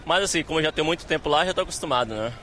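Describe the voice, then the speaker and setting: A man's voice: a little high, animated, racing, pushed and bright, a little hiss or hum. A Brazilian man with a Bahian accent, a football fan giving a friendly, casual interview outdoors on a busy street. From Salvador, Brazil. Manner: friendly, informal. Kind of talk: casual chat.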